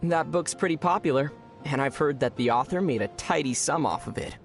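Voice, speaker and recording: An actor playing a gentle, teasing young male adventurer in a video game, speaking in a measured, wry way. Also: a little high, gravelly. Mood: conversational. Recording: music behind the voice.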